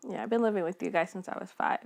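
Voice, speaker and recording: A woman's voice: medium pitch, racing, vocal fry. A woman in her mid twenties chatting casually as a podcast guest, informal and a little tentative in her phrasing. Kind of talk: casual chat.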